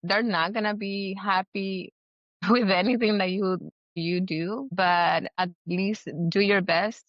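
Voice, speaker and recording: A woman's voice: medium pitch, fairly even intonation, slow, dry recording. A woman from Guatemala chatting casually as an interview guest on a podcast, in slightly dull audio. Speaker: podcast guest, business owner.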